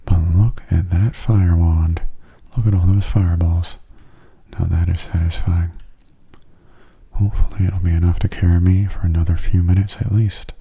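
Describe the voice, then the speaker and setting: A man giving gentle, soft-spoken ASMR game commentary in a breathy, near-whispered voice, unhurried and close to the microphone. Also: sits low, fairly even intonation. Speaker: gaming channel host.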